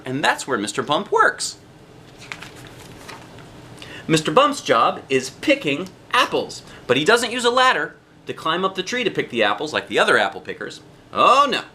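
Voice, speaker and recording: A man reading a children's storybook aloud in an expressive way, with drawn-out emphasis on key phrases. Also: a little high, a little hiss or hum. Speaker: storybook reader, a dad.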